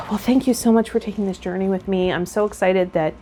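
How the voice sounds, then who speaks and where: A woman's voice: medium pitch, fairly even intonation, a little fast, dark tone. A woman speaking as a tarot vlogger and guide, explaining and chatting directly to viewers. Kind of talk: reading card meanings, then casual chat to viewers. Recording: clear background noise.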